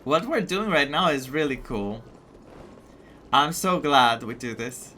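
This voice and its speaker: A man's voice: mid-range voice, lively intonation, measured pace, sharp tone, a little hiss or hum. A man from Greece with a Greek accent, giving casual, enthusiastic let's play commentary with reactive asides. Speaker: gaming content creator. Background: game music.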